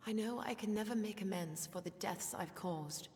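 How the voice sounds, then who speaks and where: A woman's voice: medium pitch, moderate pace, darkish tone, a little hiss or hum, lightly acted. Woman playing an earnest, guilt-ridden soldier in echoing hall. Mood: remorseful. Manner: earnest, hesitant, subdued. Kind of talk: video game character acting. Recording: long reverb, music behind.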